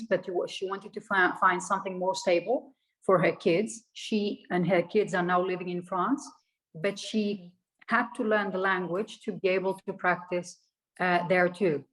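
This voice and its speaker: A woman's voice: lowish voice, fairly bright tone, low-quality recording. Earnest woman guest on a video panel. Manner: earnest storytelling. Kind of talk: online panel discussion. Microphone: video call.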